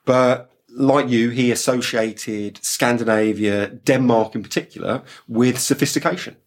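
A man, co-hosting a podcast and explaining behavioral science in a measured way. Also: mid-range voice, steady tone.

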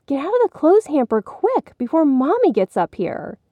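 A woman's voice: sits high, wildly swooping pitch, moderate pace, dry recording, phone-quality sound, voice pushed up. Woman doing a sly, taunting big sister. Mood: urgent. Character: spiteful older sister, a bossy young girl.

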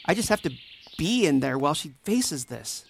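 A woman's voice: low-pitched, sing-song, moderate pace, creaky, a little hiss or hum, heavily acted. A woman playing an empathetic, anxious mentor and counselor in a fiction podcast drama, sincere and self-doubting. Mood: distressed.

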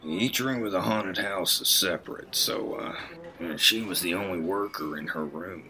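A man's voice: deep voice, normal speed, sharp tone, rough recording. A man acting a small-town police officer talking shop in a naturalistic audio drama, with noise behind him. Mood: matter-of-fact.

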